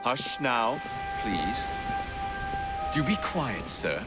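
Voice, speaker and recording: An actor with an English accent playing a cocky young vigilante in a video game, wry and mock-polite. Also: male voice, mid-range voice, big pitch swings, drawn-out words, loud music behind the voice. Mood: cheeky, mock-polite. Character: cocky, roguish young male Victorian London vigilante. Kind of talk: video game cutscene acting.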